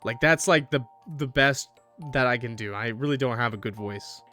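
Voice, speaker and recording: Young male streamer, casual and loud, cracks crude jokes to chat. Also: mid-range voice, normal speed, soft background music. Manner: loud, profane, joking asides to chat. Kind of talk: casual gaming commentary.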